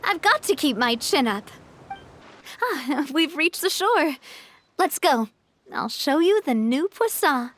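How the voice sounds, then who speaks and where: An actress playing a gracious, resilient young lady boss, poised and expressive, in a video game. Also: sits high, slow pace, pauses often, heavily acted. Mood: resolute. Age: young adult. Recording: faint music behind.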